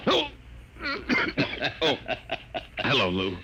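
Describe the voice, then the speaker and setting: An actor playing a meek, hapless young American lawyer in 1950s radio style, hesitant and apologetic. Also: male voice, mid-range voice, wildly swooping pitch, very slow, full character voice. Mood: glum. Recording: dull, noisy vintage radio recording.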